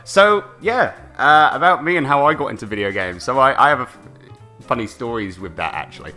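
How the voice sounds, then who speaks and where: A young British man co-hosting a casual live gaming stream, chatty and quick to laugh, often repeating words. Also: mid-range voice, lively intonation, sharp tone, faint music behind. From United Kingdom. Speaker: co-host.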